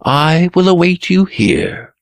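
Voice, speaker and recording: A man voicing a mild, dreamy, cheerful young fairy-tale prince who speaks gently in archaic English. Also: fairly high pitch, big pitch swings, slow, gravelly, no room sound, pitched above the performer's own voice, exaggerated voice. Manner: abstracted, gentle archaic speech.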